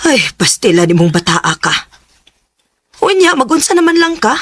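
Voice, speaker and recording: A woman playing a scolding middle-aged mother in a radio drama, with a husky voice. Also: sits high, very animated, normal speed, no room sound, full character voice. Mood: stern. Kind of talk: Cebuano radio drama acting.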